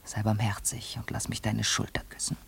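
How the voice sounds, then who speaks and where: A woman's voice: rumbling low, completely flat delivery, moderate pace, a bit bright, whispered, full character voice. An actress playing a vain, obsessive lady fearful of ageing, speaking theatrically and intensely in a literary radio play. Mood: tender, wistful. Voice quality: husky, breathy, creaky. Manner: theatrical, intense, addressing a servant.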